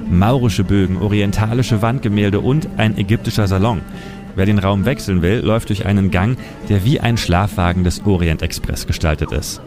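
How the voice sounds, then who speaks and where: A man presenting as a city guide, narrating a scripted historical story for radio. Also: fairly low pitch.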